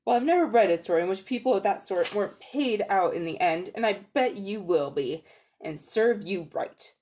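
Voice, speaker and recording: A woman voicing a brave, hot-tempered schoolboy in a dramatic storybook reading, speaking bluntly and forcefully. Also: mid-range voice, lively intonation, moderate pace, a little hiss or hum, lightly acted. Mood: defiant. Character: indignant, brave, outspoken English schoolboy.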